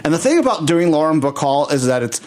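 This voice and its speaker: A man's voice: on the high side, sing-song, quick pace, darkish tone. A man co-hosting a casual chat, rambling with many fillers. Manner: rambling, lots of fillers and restarts. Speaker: podcast co-host, drag performer.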